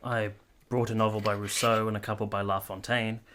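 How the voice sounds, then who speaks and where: Period radio drama: reserved, earnest captain played by a man, low register, forceful bright tone, formal literary delivery. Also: level tone, fairly quick, a little hiss or hum, close to an everyday voice. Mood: polite, reserved. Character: earnest, reserved young army captain narrating his memories. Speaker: narrator and lead character.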